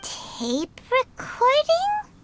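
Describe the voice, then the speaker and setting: A woman voicing a tiny, childlike, excitable female sprite companion in a video game, squeaky and exclamatory. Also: extremely slow, background noise, exaggerated voice. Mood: curious, eager. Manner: exclamatory, refers to herself by name. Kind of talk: English video game voice acting. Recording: game music behind the voice. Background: video game music.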